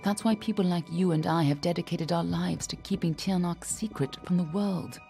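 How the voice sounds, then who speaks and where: An actress playing a stern, guarded warrior woman in a fantasy game, speaking in a cool, serious, measured way. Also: fairly low pitch, gravelly, dark tone, soft background music. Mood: grave, guarded. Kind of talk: dramatic fantasy game dialogue.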